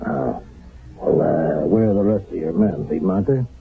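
Deep creaky us army captain, hesitant n worried, old radio show, muffled. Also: male voice, exaggerated voice. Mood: surprised, concerned. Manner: hesitant, halting. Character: flustered, concerned American army captain. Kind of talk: 1970s radio drama acting. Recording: muffled narrow-band 1970s radio broadcast.

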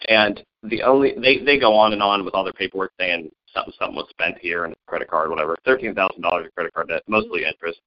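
A man co-hosting a podcast in casual chat, an animated storyteller who laughs often. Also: deep voice, fast talker, hardly any pauses, dry recording. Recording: dull lo-fi sound, dry.

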